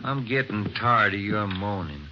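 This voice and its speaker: An actor playing a weary plainclothes police partner, dry and businesslike, in 1940s radio acting. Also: male voice, lowish voice, a little slow, close to an everyday voice. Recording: dull old radio recording with noise.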